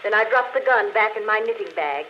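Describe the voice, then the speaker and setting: An actress playing a dead woman who confesses a murder on a phonograph record, speaking slowly in 1940s radio style. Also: mid-range voice, natural voice. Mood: coolly confessing. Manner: calm, deliberate confession, later frightened. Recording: dull old radio broadcast with faint hiss.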